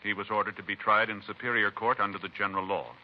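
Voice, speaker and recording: An actor as a formal, impersonal announcer, reading 1950s radio narration in a crisp, matter-of-fact way. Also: male voice, on the low side, fairly even intonation, fast talker, lightly acted. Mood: official, matter-of-fact. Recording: dull, noisy vintage radio recording.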